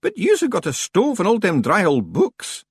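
A shifty, mysterious stranger from a storybook. The accent is broad Scottish and the voice is pushed up high, with big swoops in pitch. He blusters through his excuses in hurried dialect. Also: wildly swooping pitch, breathy edge, dry recording, clean studio sound, heavily acted. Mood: blustering, evasive.

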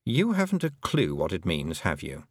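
Man voicing a dry, low-pitched little toad. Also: wildly swooping pitch, normal speed, dry recording, full character voice. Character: small male toad, dry unruffled adviser.

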